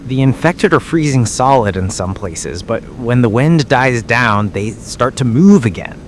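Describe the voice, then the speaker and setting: A man acts out a weary radio announcer holding on through an apocalypse. His mid-pitched voice is grave and halting, delivering emergency bulletins under clear background noise. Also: expressive, close to an everyday voice. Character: weary stand-in small-town radio announcer during zombie outbreak. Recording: noisy radio broadcast ambience.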